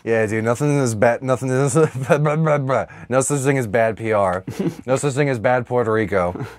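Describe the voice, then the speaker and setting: A man's voice: mid-range voice, brisk, dry recording. A man co-hosting a comedy podcast, bantering casually with crude, absurd riffs. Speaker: podcast co-host.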